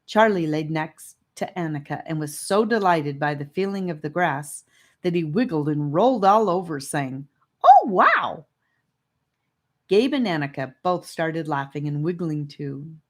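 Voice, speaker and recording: A woman's voice: low voice, moderate pace. A woman reading scripted narration of a children's fantasy audiobook.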